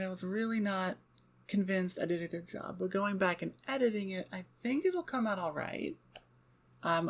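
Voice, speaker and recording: A woman hosting her own audio channel, talking in a quick, chatty, self-deprecating way. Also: lowish voice. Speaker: ASMR audio creator and channel host. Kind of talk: casual chat.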